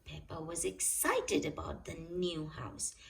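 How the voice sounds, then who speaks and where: Female storybook reader for young children; expressive, unhurried delivery, lively melody. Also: low-pitched, creaky, distant mic. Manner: expressive, addresses young listeners directly. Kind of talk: read-aloud children's story narration.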